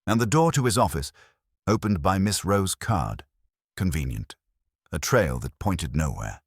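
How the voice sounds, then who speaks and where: A man plays a coldly logical detective in a mystery audiobook, with an extremely low, husky voice. He speaks formally and accusingly, his pitch swinging with emphasis. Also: sing-song, a little slow, no echo, clean studio sound, exaggerated voice. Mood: accusing, controlled. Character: commanding, coldly logical male detective confronting suspects. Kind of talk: audiobook detective story dialogue.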